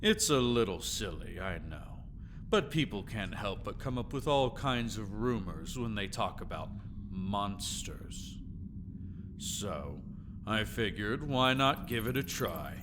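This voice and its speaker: Man acting a slow, sarcastic, sadistic jailer over ambience. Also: on the low side, big pitch swings, background noise. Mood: mocking, gloating. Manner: sarcastic, drawling, theatrically polite menace. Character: sadistic, mocking male jailer of a captive vampire. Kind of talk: scripted ASMR audio drama monologue.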